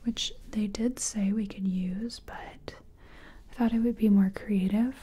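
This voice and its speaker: A woman doing soft-spoken ASMR roleplay as a makeup competition contestant, half-whispering in a hesitant, chatty, self-effacing way. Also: medium pitch, fairly even intonation, unhurried, breathy edge.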